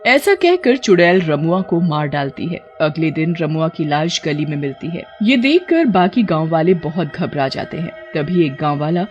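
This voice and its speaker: A woman's voice: sits low, very animated, normal speed, hardly any pauses, highs cut off. A woman narrating a scripted cartoon story. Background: background music.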